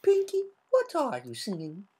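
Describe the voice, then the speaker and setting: A woman's voice: a little high, wildly swooping pitch, measured pace, mellow, slightly rough, pitched above the performer's own voice, heavily acted. A woman voicing a refined, fashion-minded female pony who speaks politely with careful wording. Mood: puzzled.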